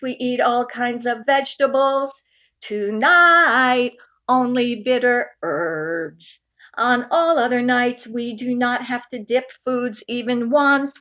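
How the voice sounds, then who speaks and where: Slow, high-voiced woman reading a storybook. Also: a bit bright. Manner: deliberate, dramatic phrasing with stretched key words. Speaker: picture book reader. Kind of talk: expressive storybook read-aloud.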